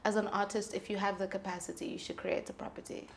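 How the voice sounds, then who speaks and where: A woman's voice: mid-range voice, little pitch movement, fast, creaky. A woman talking candidly and earnestly as a guest in a conversational interview. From South Africa. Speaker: singer-songwriter, interview guest.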